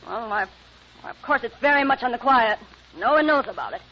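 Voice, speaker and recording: An actress playing a nosy, outspoken married neighbor with a sharp-tongued manner in an old-time radio comedy. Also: female voice, fairly high pitch, lively intonation, a little fast. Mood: tart. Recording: muffled old radio recording.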